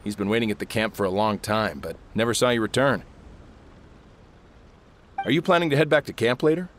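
A man's voice: on the low side, fairly quick, a little hiss or hum, close to an everyday voice. A man playing a dutiful, respectful soldier in a video game, polite and matter-of-fact. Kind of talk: scripted video game voice acting.

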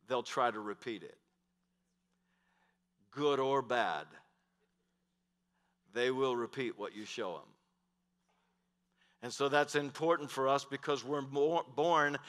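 A middle-aged man preaching from a big church stage in a bright, forceful voice. He swings from loud, emphatic declarations down to hushed asides. His voice carries a long reverb from the hall. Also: medium pitch. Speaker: pastor. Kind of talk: sermon.

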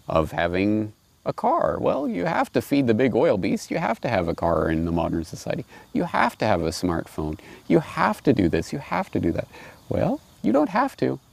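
A man in his forties giving reflective commentary and reading aloud, deliberate and emphatic, recorded outdoors on a lapel mic. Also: fairly low pitch, huge pitch swings, vocal fry, a little mellow, no room sound. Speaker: host and commentator. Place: outdoors on grass by trees and water.

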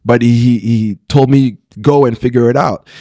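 A man's voice: mid-range voice, steady tone, normal speed, mellow, no room sound. Earnest man in his thirties, dry sound. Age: 30s. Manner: earnest, repeats words and restarts, checks in with "right?" and "okay". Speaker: pastor and podcast guest. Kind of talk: candid personal storytelling. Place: small podcast studio. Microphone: close studio podcast mic.

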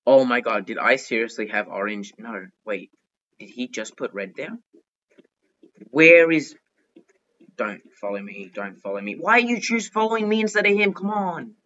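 A male gaming host with a mid-pitched voice narrates his play in an excitable, chatty way. He talks to himself and repeats short phrases over and over. The microphone sounds dull and lo-fi. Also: normal speed. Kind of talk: excitable gameplay commentary.

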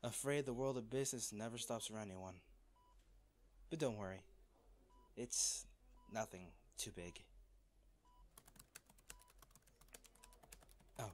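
A man playing a wealthy, devoted, protective lover in a romantic audio roleplay, speaking tenderly and earnestly with halting phrases. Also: fairly low pitch, steady tone, close to an everyday voice. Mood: soft, reassuring.

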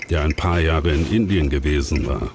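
A man performing as the brooding narrator of a dark thriller audio drama, with a very deep, gravelly voice. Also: moderate pace, noisy background.

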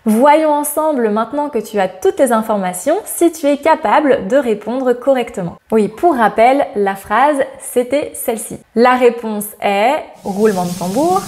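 A woman in her thirties teaches grammar straight to camera, her voice sitting a little high. She talks in a lively, smiling way and slows right down on each example sentence. It is a close lapel-mic recording in a bright indoor room. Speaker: French teacher. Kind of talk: scripted grammar lesson to camera.

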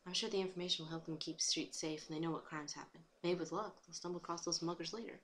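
An earnest, dutiful cartoon pony, played by a woman, reasons aloud in a slightly low voice. The recording is faintly noisy. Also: fairly even intonation, quick pace, fairly bright tone, close to an everyday voice. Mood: earnest, puzzled.

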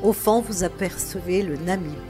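A woman narrating her road trip in a travel vlog, unhurried and with hesitant fillers. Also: lowish voice. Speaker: travel vlogger. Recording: faint music in background.

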